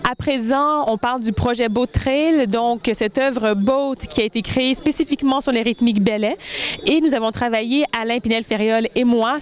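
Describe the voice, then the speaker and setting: Woman, choreographer, earnest interview talk, slightly high voice, hesitant pauses. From Canada (Montreal, Quebec). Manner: earnest, measured, with frequent hesitations. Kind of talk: interview reflection.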